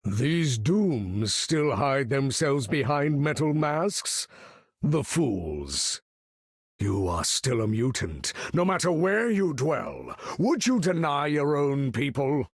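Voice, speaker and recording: Game villain: proud mutant leader, grandiose, unhurried, husky. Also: male voice, mid-range voice, sing-song, no room sound, studio quality, exaggerated voice. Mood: commanding, grave. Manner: grandiose, measured, theatrical delivery. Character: proud, menacing mutant leader, commanding supervillain with grand bearing. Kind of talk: video game character voice lines.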